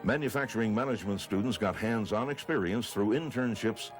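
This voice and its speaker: A man's voice: fairly low pitch, brisk. An American man reading scripted narration for a newsreel. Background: music bed.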